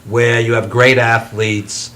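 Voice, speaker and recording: A man's voice: medium pitch, flat delivery, slow pace, sharp tone, slight background noise. A man co-hosting a casual talk show, chiming in playfully.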